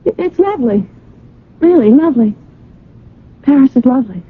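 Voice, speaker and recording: A woman's voice: sits high, expressive, normal speed, husky. An actress playing a lovelorn, anxious young woman in a radio drama, earnest and wistful. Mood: subdued, hesitant. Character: lovelorn, anxious young woman adrift abroad, narrating her story. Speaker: lead actress and narrator. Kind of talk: radio drama acting and narration. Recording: narrow-band old radio broadcast, music.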